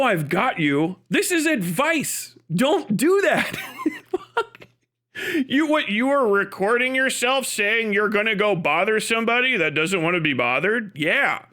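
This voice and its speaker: High-pitched, animated man ranting. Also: takes it slowly. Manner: exasperated, incredulous, often laughing mid-sentence; sweary, blunt. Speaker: live streamer. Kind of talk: unscripted commentary rant.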